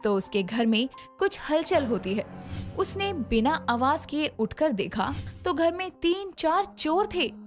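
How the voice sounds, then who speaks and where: A woman narrating a scripted moral story in a dramatic, expressive way, over soft background music. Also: sits high, measured pace.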